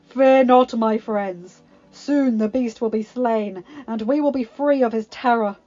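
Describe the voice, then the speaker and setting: A vain, cruel young hunter sneers and loudly stirs up a mob, as a woman puts him on in a storybook. the voice rides rather high, and the sound is dull, with faint music playing. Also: a little slow, close to an everyday voice. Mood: rousing. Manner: sneering and boastful.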